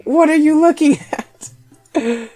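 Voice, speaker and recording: A man's voice: extremely high pitch, slow, mellow, pitched above the performer's own voice. A man voicing a short, stubborn, hot-tempered schoolgirl in a video game, snappy and indignant. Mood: furious, flustered. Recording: voice over game music.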